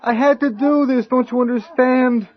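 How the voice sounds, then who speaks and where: An actor playing a desperate, frightened husband in a radio horror drama, stammering and overwrought. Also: male voice, very high voice, fairly even intonation, normal speed, lo-fi sound, slight background noise. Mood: anguished, pleading. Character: desperate, frightened husband pleading with his mad wife.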